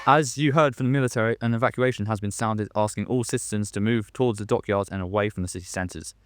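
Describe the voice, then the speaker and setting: A young man playing a polite radio news presenter who gets nervous and flustered, halting in his delivery, in a radio drama. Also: fairly low pitch, close to an everyday voice. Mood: urgent. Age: young adult. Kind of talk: modern radio drama acting.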